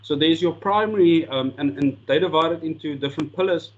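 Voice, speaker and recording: A man's voice: fairly high pitch, fairly quick, dull audio. A South African man whose first language is Afrikaans, giving an earnest coaching talk with slides and often repeating words as he restarts sentences. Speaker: sport coaching lecturer.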